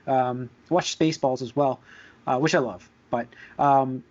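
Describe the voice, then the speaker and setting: A man hosting a podcast in casual chat, halting and hedging, often repeating his words as he goes. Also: medium pitch, fairly even intonation, darkish tone. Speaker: podcast host. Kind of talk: casual chat about movies and shows. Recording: dull lo-fi voice recording.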